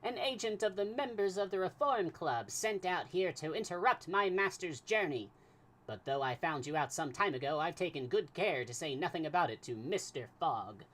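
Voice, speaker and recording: A man putting on an excitable French manservant. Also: a little high, normal speed, pitched above the performer's own voice, poor audio. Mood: indignant. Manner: excited, exclaiming, loud.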